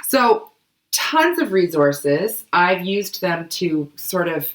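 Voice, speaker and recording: Casual podcast co-host, a woman with a cold-roughened voice, a bit low, recorded in a roomy space. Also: big pitch swings, takes it slowly. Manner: chatty, loose, many fillers. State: hoarse from a cold, coughing. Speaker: book club podcast co-host. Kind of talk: casual explanatory chat.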